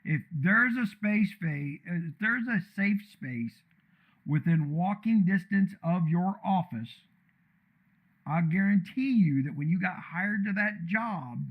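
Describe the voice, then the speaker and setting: A man with a deep, commanding voice that sits somewhat high rants bluntly and loudly, wandering from point to point. He sounds like a casual live-streamer talking off the cuff. The sound is thin and tinny, like a phone line. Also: animated, takes it slowly. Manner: blunt, loud, rambling. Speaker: streamer tuning his microphone. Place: untreated room with echo and a computer fan.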